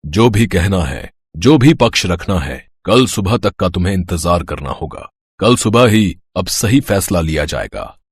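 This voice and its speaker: A solemn elder of authority from a cartoon fairy tale, voiced by a man. The voice is very low and raspy, with formal, weighty phrasing. The recording is dry, without room sound. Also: sing-song, a little slow, dry recording, heavily acted. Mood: stern. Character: solemn, deep-voiced elder men of authority: judge, kings, cursing beggar. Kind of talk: Hindi moral-story cartoon voice acting.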